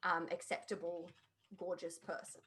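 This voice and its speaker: A woman's voice: lowish voice, level tone, moderate pace, a bit bright, rough recording. A woman chatting informally and emphatically as a podcast panelist reviewing a show. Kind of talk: casual opinionated review chat.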